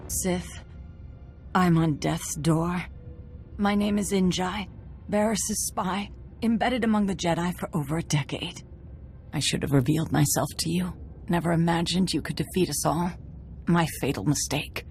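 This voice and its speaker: A woman's voice: fairly low pitch, a little slow, vocal fry, a little mellow, soft background music. An actress playing a stern, intense female Jedi with a secret in a video game. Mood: dying, bitter.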